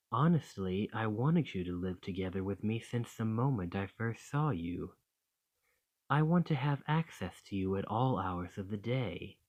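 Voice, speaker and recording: A man's voice: fairly low pitch, darkish tone, lo-fi sound. A man playing an affectionate, slightly possessive dragon boyfriend in an ASMR romantic roleplay, speaking tenderly and reassuringly. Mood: nervous, earnest.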